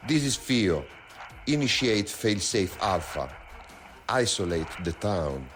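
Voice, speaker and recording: An actor playing a ruthless, short-tempered villain boss in an audio drama, giving curt commands. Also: male voice, fairly low pitch, very animated, takes it slowly, a bit bright, heavily acted. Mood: cold commanding. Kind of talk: scripted audio drama acting. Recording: music under the voice.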